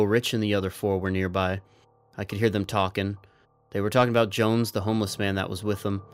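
A man playing a resigned, trapped soldier in an audio drama, speaking in a measured, earnest, persuasive way. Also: on the low side, close to an everyday voice.

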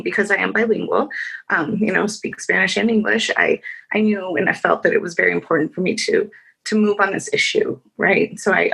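A woman from Chicago speaks as a lawyer on a panel, her voice gravelly and a little husky. She talks haltingly, circling back with fillers and restarts. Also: medium pitch, a bit bright, low-quality recording. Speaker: attorney on a panel. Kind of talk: panel discussion answer.

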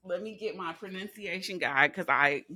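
A woman's voice: on the low side, normal speed, bright, forceful tone. A middle-aged woman from California co-hosting a podcast in casual chat, laughing often and swearing freely. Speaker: podcast co-host.

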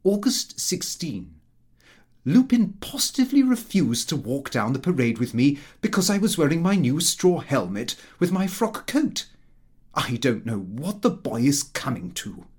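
A man reading a comic first-person diary as an audiobook, in a prim, expressive, self-important manner. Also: on the high side, a little slow, slightly rough. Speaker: audiobook narrator.

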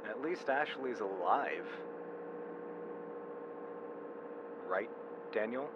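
A man's voice: mid-range voice, expressive, a little slow, lightly acted. A man acting a wary, anxious road-trip companion in an audio drama, speaking in terse phrases. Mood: subdued. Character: wary, urgent male travel companion on supernatural road trip. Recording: muffled, loud vehicle noise.

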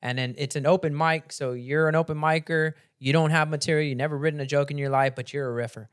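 A man's voice: fairly high pitch, steady tone, fast. A man hosting a casual podcast, throwing out questions, interjections and jokey, swearing banter. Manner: profane, jokey, frequent interjections. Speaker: podcast host.

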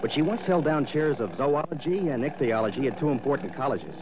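A man's voice: on the high side, animated, a little fast, lightly acted. An actor playing a breezy, enthusiastic, folksy male insurance agent in a 1960s radio drama. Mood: earnest, excited. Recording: dull old radio, loud outdoor effects noise.

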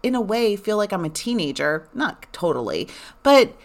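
A woman in her forties chatting casually to her listeners, animated and rambling with lots of fillers. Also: mid-range voice, normal speed. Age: 40s. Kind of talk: casual personal chat.

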